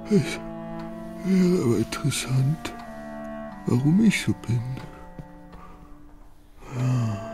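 An actor playing a lethargic, fretful Russian gentleman in a radio play, querulous and full of whining self-pity. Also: medium pitch, full character voice. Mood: brooding, self-pitying. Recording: music behind the voice.

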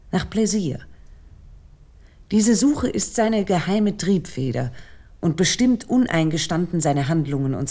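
Low, mellow woman reading a literary essay. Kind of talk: scripted literary lecture narration.

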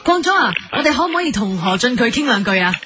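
A woman's voice: fairly high pitch, sing-song, fast talker, a bit bright, slight background noise. A woman playing an earnest, patient TV presenter in a comedy parody, questioning politely and persistently in Hong Kong Cantonese.

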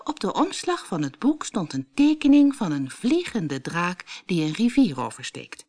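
Lively woman reading a children's story. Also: fairly low pitch, a little slow. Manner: expressive storytelling, acts out dialogue. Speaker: audiobook narrator. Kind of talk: scripted children's story narration.

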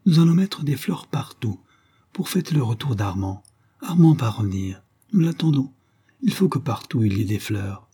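A lovelorn, childlike young madwoman from an old melodramatic novel, as a male reader puts her on. The voice is lifted high and kept soft and velvety, with a husky grain. The phrases are dreamy, gentle and repetitive. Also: very animated, normal speed. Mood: dreamy, cheerful.

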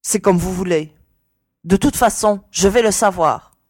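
A woman's voice: medium pitch, a little slow, darkish tone, no echo, studio quality, close to an everyday voice. A woman playing a cool, commanding young leader with a taunting edge in an audio drama. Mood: commanding, mocking.

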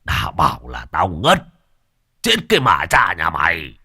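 A man voicing a gravely wounded old sorcerer who talks in weak, halting, gruff bursts. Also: low-pitched, huge pitch swings, sharp tone, no room sound, studio quality, pitched below the performer's own voice, heavily acted. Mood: grumpy.

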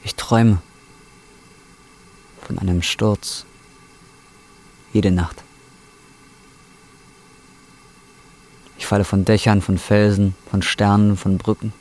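A man's voice: fairly low pitch, little pitch movement, unhurried, long pauses, darkish tone, close to an everyday voice. An actor playing a down-and-out man in his twenties, blunt, weary and brooding, in an audio drama. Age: 20s. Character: jaded, down-and-out young man, brooding and defiant. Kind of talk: audio drama acting with inner monologue. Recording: faint background noise.

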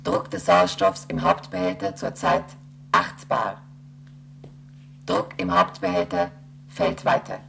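An emotionless space station computer in a radio play, extremely low and creaky. It recites regulations in a formal, literal monotone, with faint noise behind it. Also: female voice, slow, pauses often, heavily acted. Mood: impassive. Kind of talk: German radio play acting.

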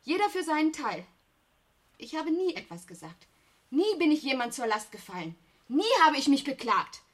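A woman's voice: sits high, big pitch swings, normal speed. An actress playing a proud, aggrieved young working woman, emphatic and reproachful, in a radio play. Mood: defensive. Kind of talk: German radio play acting.

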